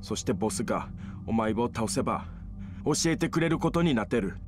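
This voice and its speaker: An actor playing a cold, vengeful, ambitious young gangster fighter, curt and threatening, in dramatic video game dialogue. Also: male voice, mid-range voice, expressive, a little fast, close to an everyday voice. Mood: menacing. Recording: music under the dialogue.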